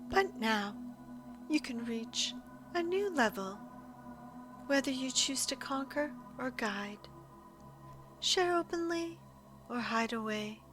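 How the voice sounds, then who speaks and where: A woman playing an ancient alien hive queen in an audio roleplay, speaking in a calm, formal, persuasive manner. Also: on the high side. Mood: triumphant, inviting. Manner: slow, measured, formal, persuasive. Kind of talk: scripted audio roleplay monologue.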